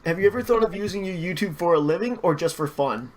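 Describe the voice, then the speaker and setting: Halting, informal man hosting a chat. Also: high-pitched, expressive, over a noisy crowd. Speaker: podcast host, interviewer. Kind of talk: casual chat.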